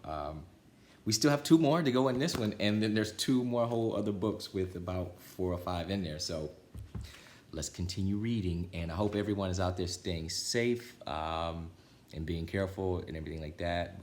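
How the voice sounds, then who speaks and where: A man's voice: deep voice, normal speed, a little mellow, roomy sound, a little hiss or hum. A man reading a children's storybook aloud as a storytime narrator, with some casual chat to listeners. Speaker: storytime reader.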